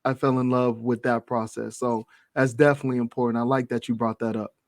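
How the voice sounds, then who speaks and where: A man's voice: mid-range voice, little pitch movement, fast talker, dry recording, clean studio sound. A man hosting a casual interview, asking questions and affirming with short replies. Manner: friendly, affirming with "right" and "yeah, man". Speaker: interview host.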